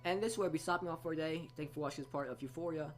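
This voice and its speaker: Guy doing a lets play reading, stumbles and rereads lines, music playing behind him. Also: a little high. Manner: halting, stumbling over words and re-reading. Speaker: let's play host reading a visual novel aloud. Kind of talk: reading game text aloud with asides. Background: game music.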